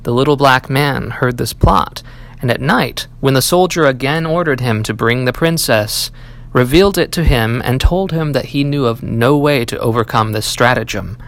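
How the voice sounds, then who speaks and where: A man reading a fairy tale aloud as an audiobook, in an expressive storybook style. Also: mid-range voice, gravelly. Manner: storybook delivery, acting out dialogue. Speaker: audiobook reader, library staff.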